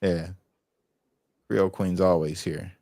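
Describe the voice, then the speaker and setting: A man with an American accent talks casually on a livestream. His voice sits fairly low and his phrasing is streetwise and full of slang. The sound is very dry, with no room on it. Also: steady tone, takes it slowly, creaky, dark tone, no room sound. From United States. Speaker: livestream host. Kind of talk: casual livestream political chat.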